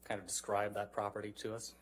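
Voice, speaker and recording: Fast-talking male lawyer, lowish voice. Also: completely flat delivery, noisy background. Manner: methodical, probing. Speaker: lawyer questioning a witness. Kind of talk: courtroom questioning.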